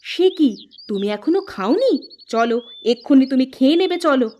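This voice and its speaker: A woman's voice: high-pitched, lively intonation, moderate pace, dark tone. A woman voicing a caring, bossy young village girl in a cartoon, with an insistent, exclamatory delivery. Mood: scolding concern. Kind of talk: Bengali cartoon voice acting. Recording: music prominent behind the voice.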